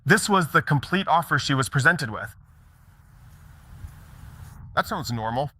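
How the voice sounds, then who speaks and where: A man's voice: fairly high pitch, expressive, a little slow, bright, forceful tone, husky, dry recording. A middle-aged man telling stories in a conversational conference talk, often checking in with the audience. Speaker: mortgage broker giving a conference talk.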